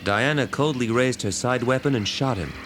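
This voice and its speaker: A man's voice: medium pitch, normal speed. A man narrating a dramatic story from a script. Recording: music behind the voice.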